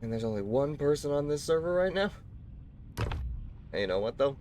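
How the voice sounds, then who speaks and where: A man hosting a live game stream while sick, a little raspy, talking casually and courteously with his viewers and chuckling often. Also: on the high side, lively intonation, fairly quick, darkish tone, slight background noise. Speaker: livestream host. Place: at home.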